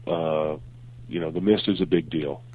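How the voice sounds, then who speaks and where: Deep, raspy man with a cold, talking excitedly with lots of fillers, heard on a tinny phone line. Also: moderate pace. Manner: chatty, animated, with many fillers and restarts. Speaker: film graphic consultant and co-host. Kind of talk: casual podcast chat.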